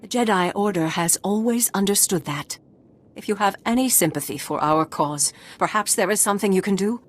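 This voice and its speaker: A woman's voice: mid-range voice, a little fast, lightly acted. An actress playing a proud, aggrieved refugee leader in a video game, pleading formally and earnestly for her people. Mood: earnest appeal. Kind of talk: dramatic scripted video game dialogue.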